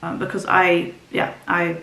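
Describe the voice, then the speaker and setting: A woman's voice: fairly low pitch, monotone, unhurried, gravelly, fairly bright tone, a little hiss or hum. Rambling woman. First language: Dutch. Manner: rambling, frequent ums and restarts. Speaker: book vlogger. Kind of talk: casual book talk to camera. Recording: roomy echo, faint ambience.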